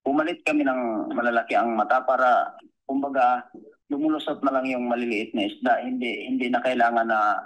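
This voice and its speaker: A man with a Filipino accent answering interview questions as a guest, in a halting, plain-spoken, polite way. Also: mid-range voice, fairly even intonation, fairly bright tone, low-quality recording. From Philippines. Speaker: commercial fisherman, interview guest.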